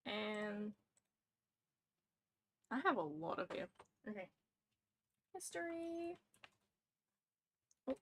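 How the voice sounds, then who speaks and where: Slow-talking woman with a gravelly edge. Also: sits high, animated, a little hiss or hum. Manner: scattered, self-mocking, narrates her own fumbles. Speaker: livestream co-host. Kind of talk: casual livestream chat.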